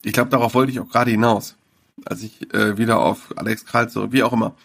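A man's voice: fairly low pitch, steady tone, quick pace, creaky, a bit bright. A man, a sports reporter, talking casually in a podcast interview, with many fillers and restarts.

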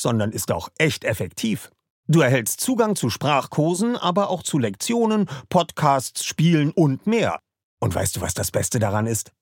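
A man, a podcast host, reading a sponsor ad in an upbeat, salesy way with a husky voice. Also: on the high side, no echo. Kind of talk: ad read. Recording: dry close mic.

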